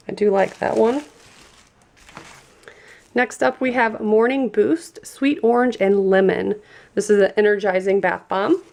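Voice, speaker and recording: Female reviewer, congested and stuffy, friendly enthusiastic unboxing delivery, some gravel in the voice. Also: mid-range voice, a little mellow. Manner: chatty and enthusiastic. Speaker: product reviewer.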